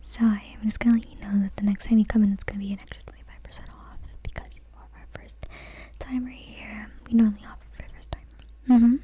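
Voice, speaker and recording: A woman role-playing a friendly nail salon technician, whispering softly close to the mic and slowly repeating words. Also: medium pitch, fairly even intonation, natural voice. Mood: gentle. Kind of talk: ASMR whispered roleplay.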